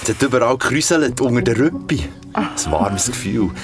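An excitable guy with a Swiss German accent played by a man tells a campfire horror story in a radio drama. His delivery is colloquial and vivid, with wide pitch swings over music. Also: fairly quick, lightly acted. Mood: nostalgic, sheepish. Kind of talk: audio drama first-person storytelling. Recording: studio audio drama with music underneath.

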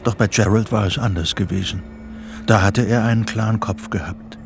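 A man narrating a psychological thriller in a radio play, reading literary prose in a measured, serious way. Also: lowish voice, fairly even intonation, gravelly. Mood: sober. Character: sober third-person storyteller of a psychological thriller. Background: music.

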